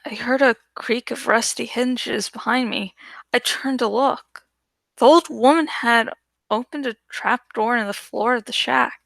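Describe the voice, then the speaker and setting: A woman reading a story aloud as dramatic first-person audiobook narration, deliberate and expressive. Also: a little high, gravelly, no room sound. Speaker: book narrator.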